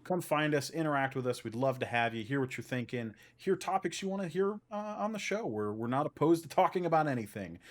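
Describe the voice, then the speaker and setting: A man hosting a casual podcast, talking in an informal, opinionated way. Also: mid-range voice. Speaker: podcast host.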